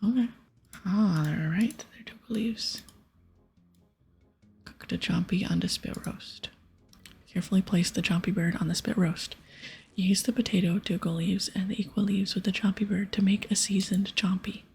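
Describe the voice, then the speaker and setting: A woman doing a whispered ASMR game playthrough, casually soft-spoken and breathy as she reads aloud and comments. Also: medium pitch, fairly even intonation, moderate pace. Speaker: ASMR gaming streamer. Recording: soft music behind. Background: soft music.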